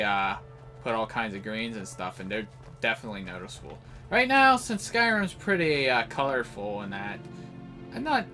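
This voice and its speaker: Man, bright forceful voice, offhand rambling game commentary, music playing behind. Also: mid-range voice. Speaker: gameplay host. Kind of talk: casual live game commentary.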